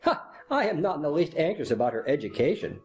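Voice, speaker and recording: A woman's voice: low-pitched, big pitch swings, quick pace, darkish tone, muffled recording, soft background music, pitched below the performer's own voice. A woman voicing a jovial, doting young army captain, playful and affectionate. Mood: proud, jovial.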